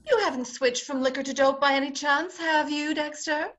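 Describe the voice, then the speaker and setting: A woman playing a proud, sharp-tongued society bride in radio comedy style, with an imperious, theatrical manner. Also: high voice, moderate pace. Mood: indignant, cutting. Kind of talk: 1940s radio comedy acting.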